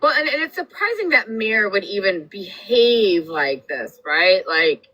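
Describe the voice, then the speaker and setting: A woman's voice: mid-range voice, very animated, a little slow, pushed and bright, dry recording, rough recording. A woman in a casual live-stream chat, blunt and sassy, who swears a lot.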